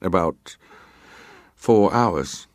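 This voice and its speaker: An actor playing a terse, guarded ship's officer giving formal, respectful, matter-of-fact testimony in a dramatised hearing. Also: male voice, low-pitched, lively intonation, very slow, dry recording, studio quality, full character voice. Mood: dutiful, firm. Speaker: actor reading a witness's testimony.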